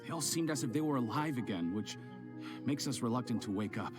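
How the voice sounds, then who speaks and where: A man's voice: mid-range voice, expressive, fairly quick, music in the background, close to an everyday voice. A man voicing a grieving townsman in a game who speaks in a hesitant, pleading way. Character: grieving townsman, reluctant dreamer.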